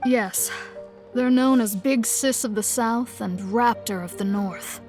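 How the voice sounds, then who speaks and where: Courteous guild receptionist. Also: female voice, a little high, takes it slowly, a little mellow. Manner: formal, courteous. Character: polite young guild receptionist woman. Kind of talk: video game dialogue. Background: faint game music.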